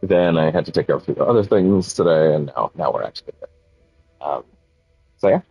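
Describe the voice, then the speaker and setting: A man's voice: rumbling low, expressive, normal speed, vocal fry, husky, dry recording, lo-fi sound. A man streaming a game, chatting casually in a rambling way with lots of fillers, a little sniffly from a cold. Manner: rambling, filler-heavy, self-correcting. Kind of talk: casual livestream chat while gaming.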